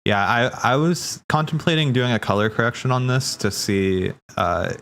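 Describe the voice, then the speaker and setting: A man streaming casual live commentary, thinking aloud in a halting way and repeating phrases as he reasons. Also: medium pitch, fairly bright tone. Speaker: streamer hosting a cutscene watch party. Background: game music behind the voice.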